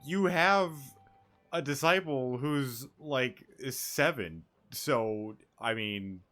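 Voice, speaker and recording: A man's voice: medium pitch, takes it slowly, a bit bright. A man streaming a game, reacting in casual off-the-cuff commentary, with game music behind his voice.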